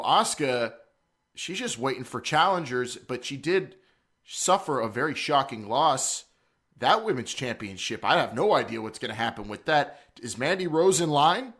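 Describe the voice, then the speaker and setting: A man's voice: mid-range voice, a bit bright. Joking, rambling male podcast host. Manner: playful, joking, rambling with restarts. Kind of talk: casual wrestling talk.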